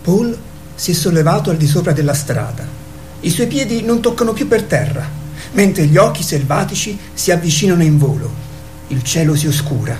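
A woman narrates a scripted radio drama. Her voice sits fairly low, with music playing behind it. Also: expressive, normal speed, darkish tone.

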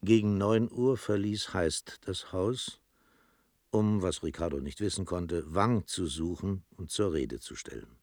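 A man with a husky voice narrating a literary radio play in a dramatic storytelling style. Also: low voice, animated, measured pace, darkish tone, no echo, studio quality.

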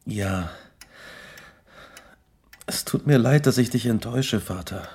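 Brooding, gravelly young scientist. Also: male voice, fairly low pitch, slow, darkish tone, full character voice. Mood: subdued, pleading. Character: tormented, guilt-ridden young scientist recounting his downfall. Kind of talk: German radio drama acting, narration and dialogue.

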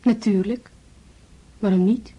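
An actress playing a polite, reserved young niece in a radio play, answering briefly and obligingly. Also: female voice, mid-range voice, steady tone, slow pace, dull audio, slight background noise, natural voice. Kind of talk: Dutch radio play acting.

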